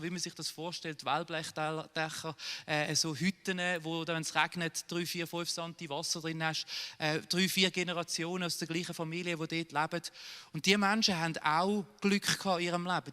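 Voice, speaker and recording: A man's voice: high voice, pushed and bright. Swiss German male writer, thirties, reflective, searching for words, in a reverberant hall. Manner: thoughtful, searching for words with restarts. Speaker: writer of the web sitcom. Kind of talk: reflective interview answers.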